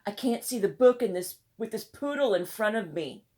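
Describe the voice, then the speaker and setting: A woman's voice: medium pitch, expressive, moderate pace, a little mellow, natural voice. A woman voicing a mocking schoolboy bully in a children's storybook, taunting and exclaiming.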